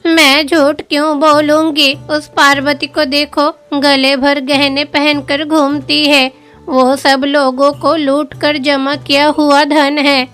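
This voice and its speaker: Sly cartoon village gossip, female, high and drawn out. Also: flat delivery, takes it slowly, a bit bright, faint music behind. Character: gossipy village neighbor woman, sly and scheming.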